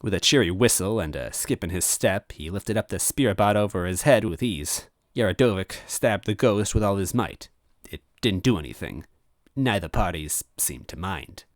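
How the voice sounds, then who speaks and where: Male narrator for fiction: lowish voice, animated intonation, close and dry sound. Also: no room sound. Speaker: story reader, narrator. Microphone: close microphone, dry.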